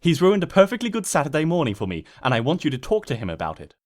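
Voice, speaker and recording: A man's voice: on the high side, huge pitch swings, fast. A man voicing an exasperated young female cartoon pony with a sarcastic, bossy, huffy manner. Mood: indignant. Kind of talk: cartoon fan-fiction character reading.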